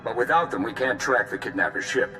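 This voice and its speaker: A man's voice: mid-range voice, animated, a little fast, lightly acted. An actor playing a businesslike male security captain in a game cutscene, reporting urgently in formal military style. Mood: urgent, official. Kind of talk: video game cutscene acting. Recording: roomy echo, music behind.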